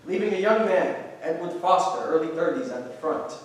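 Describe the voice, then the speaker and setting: Male narrator for staged reading, high-pitched, distant in a heavily reverberant space. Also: animated, brisk. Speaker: stage directions reader. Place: large echoey room, live staged reading. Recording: very echoey room, distant mic, faint noise.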